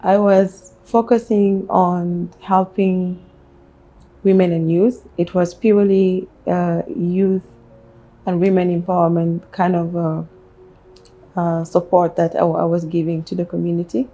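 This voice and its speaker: A woman with a South Sudanese accent answering interview questions about herself in measured, halting phrases. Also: on the low side, little pitch movement, dark tone, muffled recording. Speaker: interview guest, businesswoman. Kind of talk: interview, personal life story.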